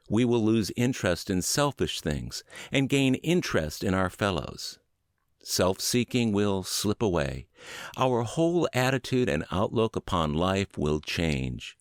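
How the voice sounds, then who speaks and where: Low-voiced man narrating with expressive swings. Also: dry recording, clean studio sound. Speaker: podcast narrator, audiobook reader. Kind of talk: scripted audiobook narration of an inspirational book.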